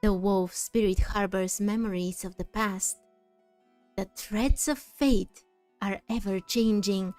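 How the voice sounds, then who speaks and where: A woman streaming a game playthrough, reading dialogue aloud and musing on it thoughtfully, with pauses mid-phrase. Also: mid-range voice, steady tone, a little mellow, dry recording. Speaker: gaming streamer. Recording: dry, faint game music behind.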